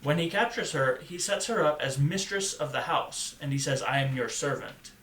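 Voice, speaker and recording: A man speaking in a thoughtful, halting and measured way as a panelist in a conversational podcast discussion. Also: a little high, animated, fairly bright tone. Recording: echoey room, faint background noise.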